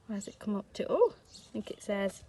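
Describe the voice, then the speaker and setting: A woman's voice: mid-range voice, very animated, normal speed, mellow. A woman speaking to young children as a teacher and story reader, explaining simply, with questions and emphasis. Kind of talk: speaking to young children, reading a picture book.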